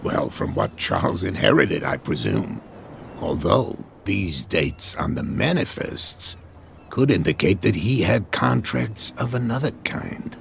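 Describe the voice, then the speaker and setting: An actor playing a weathered harbor captain in a video game, proud and superstitious, with a gravelly, measured, wry storytelling delivery. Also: male voice, low-pitched, big pitch swings, a bit bright, a little hiss or hum, exaggerated voice. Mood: thoughtful. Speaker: last serving sea captain.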